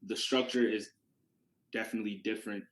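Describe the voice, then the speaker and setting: A man's voice: lowish voice, steady tone, fairly quick, echoey room. A young man whose first language is Yoruba, giving a casual classroom presentation as a student presenter and talking it through with rhetorical questions. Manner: conversational, restarts words, asks rhetorical questions.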